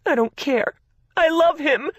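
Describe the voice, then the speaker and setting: A woman reading an audiobook voices a distraught young woman who pleads through tears in a high, shaky voice. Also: unhurried, no room sound, muffled recording. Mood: tearful. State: crying.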